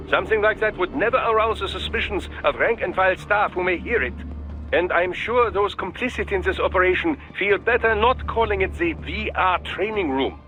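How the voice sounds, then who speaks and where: An actor playing a polite, scholarly doctor of cyborgs in a video game, formal, deferential and a little halting. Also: male voice, sits high, sharp tone, low-quality recording. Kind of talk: video game cutscene acting.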